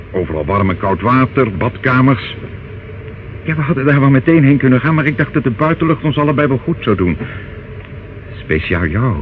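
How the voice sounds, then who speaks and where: Guy acting creepy smug old uncle in a radio drama, low voice, sweet-talky and condescending, noisy old tape. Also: phone-quality sound, close to an everyday voice. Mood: wheedling, evasive. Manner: wheedling, hesitant, condescending, calls listener child. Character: menacing, self-satisfied older uncle, smooth-talking fugitive villain. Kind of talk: Dutch radio play acting.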